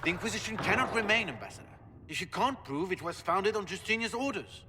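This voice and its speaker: A man's voice: sits high, expressive, quick pace, in a large hall, noisy background. A man playing a proud, indignant marquis in a fantasy game, speaking in a formal, imperious way. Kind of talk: dramatic game cutscene acting.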